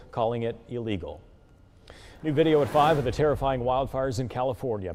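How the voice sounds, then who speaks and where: A man's voice: medium pitch, darkish tone. An American man delivering broadcast news as an anchor.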